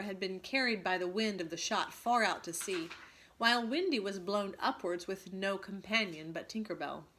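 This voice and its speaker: A woman teacher reading a story aloud expressively to students, pausing to ask them questions. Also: medium pitch, a bit bright, a little hiss or hum.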